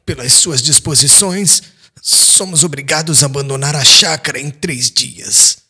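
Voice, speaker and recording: Man acting an anguished, high-strained old father. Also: bright, forceful tone, husky, heavily acted. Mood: grieving, disheartened. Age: older. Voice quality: trembling, strained voice. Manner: slow, dramatic, imploring. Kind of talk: dramatized audiobook.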